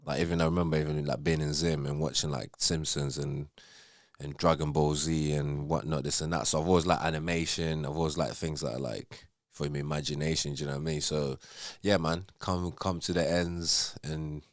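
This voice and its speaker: A young man from Zimbabwe speaks in a very low, deep voice. He rambles easily as a podcast guest, full of fillers and asides. The recording is close and dry, with no room sound. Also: brisk, no echo. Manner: relaxed, rambling, full of fillers like "you know what I mean". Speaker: artist, guest. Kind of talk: casual podcast interview.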